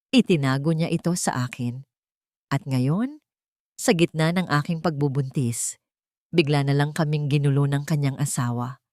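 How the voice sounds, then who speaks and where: A wronged-sounding mistress read by a woman storyteller makes her plaintive, carefully worded case. The voice is a touch low and the sound is dry, as in an audio drama. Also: darkish tone, no echo. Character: pregnant mistress posing as a wounded, pleading victim.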